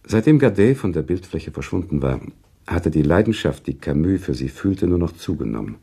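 An actor playing an earnest, sentimental author who tells a story in a radio play, with deliberate, expressive literary delivery. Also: male voice, low-pitched, slightly rough, heavily acted. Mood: reflective. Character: male author narrator recounting a sad love story, earnest and sentimental. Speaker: narrator.

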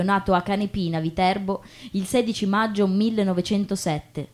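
A woman giving a measured, solemn theatrical reading as a stage narrator. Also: medium pitch, darkish tone. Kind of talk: scripted theatrical reading of history and reflection.

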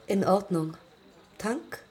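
A woman's voice: medium pitch, animated, slow pace, a crowd in the background. An actress playing an eager, headstrong young woman pilot of the 1920s in a radio play, telling her story reflectively in clipped fragments. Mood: tense, musing. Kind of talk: radio play monologue and dialogue.

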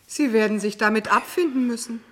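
An actress playing a cool, mocking older mistress of a genteel household in a radio play, speaking in a dry, prim, formal manner. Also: female voice, fairly high pitch, a little fast, a bit bright, lightly acted. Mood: dryly dismissive. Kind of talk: radio drama acting.

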